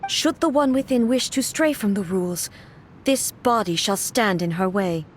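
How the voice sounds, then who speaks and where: A woman voicing a weakened but resolute goddess ruler who speaks in a formal, measured, grave way, in game dialogue. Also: medium pitch, lively intonation, slight background noise, natural voice. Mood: stern. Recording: game dialogue over faint music.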